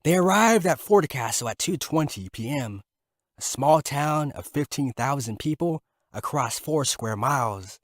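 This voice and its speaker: A man's voice: medium pitch, dry recording, clean studio sound. A man narrating a scripted fictional story.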